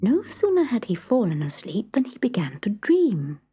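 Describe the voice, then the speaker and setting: A woman narrating a children's story from a script in a measured, expressive storytelling manner. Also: mid-range voice, soft background music.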